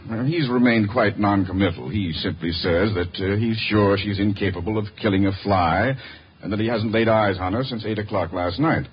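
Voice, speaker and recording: An actor playing an anxious, educated doctor in a 1950s radio drama, speaking formally and with some hesitation. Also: male voice, on the low side, moderate pace, husky. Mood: uneasy, insistent. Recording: muffled old radio broadcast.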